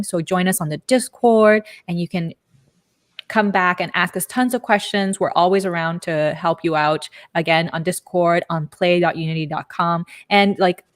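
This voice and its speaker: A young woman from Canada hosting a casual livestream from home over a headset, upbeat and chatty. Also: fairly low pitch. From Canada, Montreal. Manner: upbeat, chatty, quick bursts. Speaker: livestream host. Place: home room.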